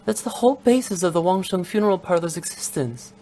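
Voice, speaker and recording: A woman's voice: lowish voice, lively intonation, fast, warm tone, slight background noise. A woman playing a young female funeral director in a video game, playful yet blunt and unsentimental, speaking in a frank, matter-of-fact way. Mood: firm, bitter. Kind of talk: scripted English video game voice acting.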